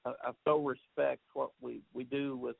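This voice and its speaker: A man's voice: mid-range voice, flat delivery, moderate pace, gravelly, no room sound. A man who is a football head coach, answering questions on a press conference call in a rambling way, often repeating words and saying "uh". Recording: phone line.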